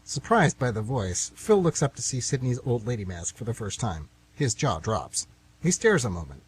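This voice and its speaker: Male narrator, husky voice, lively intonation, mid pitch, scripted radio theatre. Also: normal speed, rough recording. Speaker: narrator and announcer.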